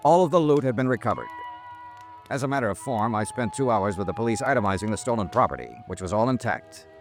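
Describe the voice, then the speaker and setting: An actor playing a tough, wry insurance investigator in a 1950s radio drama, with a clipped hard-boiled delivery. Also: male voice, on the low side, animated, fast, over music. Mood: wry, matter-of-fact. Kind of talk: 1950s radio detective acting, first-person expense-account narration.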